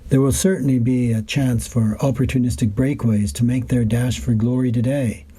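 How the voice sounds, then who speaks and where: A man's voice: mid-range voice, steady tone, normal speed, mellow, husky. A man reading his own written reports as scripted narration, in descriptive, literary phrasing. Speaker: wine and travel writer reading his reports.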